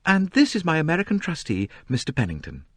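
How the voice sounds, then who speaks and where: A man voicing a shy, proud young woman who speaks softly with a faint tremor. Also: a little high, wildly swooping pitch, fast, breathy edge, no room sound, voice pushed up, heavily acted. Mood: gracious. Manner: soft, faintly trembling.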